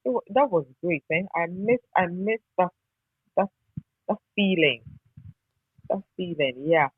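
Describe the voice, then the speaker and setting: A woman's voice: lowish voice, animated, measured pace, slightly rough, no room sound. Over a narrow, phone-like line with little room sound, a Ghanaian woman shares memories in a conversational way. She is nostalgic and often repeats a phrase to underline it. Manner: nostalgic, emphatic repetition. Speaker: interviewee, former radio newsreader. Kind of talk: conversational, reminiscing interview.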